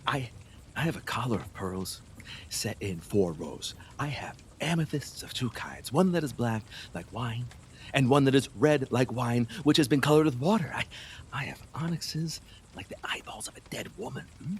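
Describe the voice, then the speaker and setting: An actor playing a lustful, fearful, superstitious middle-aged king in an audio play, husky, wheedling and erratic, with nervous laughs and halting repeats. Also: mid-range voice, expressive, a little hiss or hum, natural voice. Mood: anxious, coaxing. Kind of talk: theatrical audio play acting.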